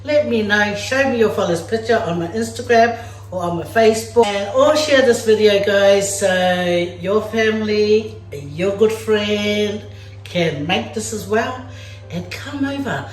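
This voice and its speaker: A woman's voice: mid-range voice, animated, measured pace. A woman from Samoa who hosts a cooking vlog and walks viewers through a dessert in a playful, chatty way. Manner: chatty and playful, talks straight to viewers as "guys". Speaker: cooking vlogger. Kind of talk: casual cooking demonstration. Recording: echoey room.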